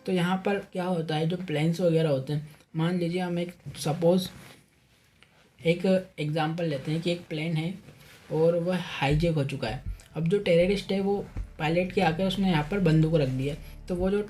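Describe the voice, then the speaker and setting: A man's voice: a little high, steady tone, moderate pace, a little mellow. A man presenting an informal educational explainer, talking through points repetitively and addressing listeners as friends. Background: faint music.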